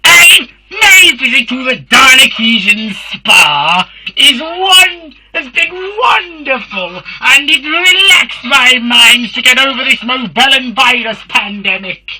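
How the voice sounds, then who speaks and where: A performer voicing an irritable mad alien professor giving a shrill, shouted testimonial in a parody advert. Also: lively intonation, takes it slowly, few pauses, a little hiss or hum, heavily acted, rough recording. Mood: elated.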